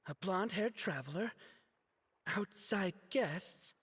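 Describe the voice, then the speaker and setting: A man's voice: high voice, lively intonation, slow pace, a bit bright, breathy edge, in a large hall, exaggerated voice. An actor playing a proud, easily flustered young male student scholar in a video game, hesitant, earnest and defensive. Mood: puzzling, flustered. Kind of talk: dramatic game character acting.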